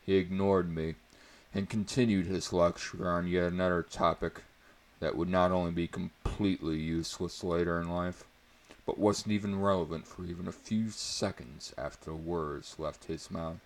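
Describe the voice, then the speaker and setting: A man performs a dramatic reading of a story in a very low voice with heavy creak. He delivers the first-person prose deliberately and emphatically, with a mock-serious, theatrical air. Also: steady tone. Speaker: reader performing a book chapter.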